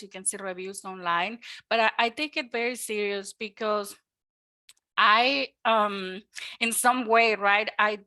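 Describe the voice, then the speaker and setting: A woman's voice: mid-range voice, bright, forceful tone. A woman with a Mexican Spanish accent, a native Spanish speaker from Mexico, giving an earnest, upbeat webinar presentation on home loans with frequent ums. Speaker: mortgage lender presenting a webinar.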